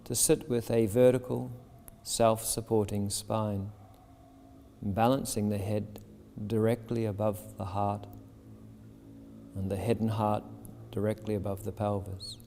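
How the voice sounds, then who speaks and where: A man leading a guided meditation as a yoga teacher, speaking soothingly and deliberately in short phrases with pauses. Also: fairly low pitch, flat delivery, creaky, dark tone, a little hiss or hum. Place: yoga shala with a seated group. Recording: long reverb of a large hall.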